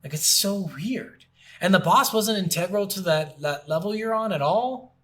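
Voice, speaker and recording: A man's voice: sits high, normal speed, fairly bright tone. A man co-hosting a podcast, chatting casually and bluntly about video games with plenty of swearing. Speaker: podcast co-host. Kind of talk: casual video game review chat.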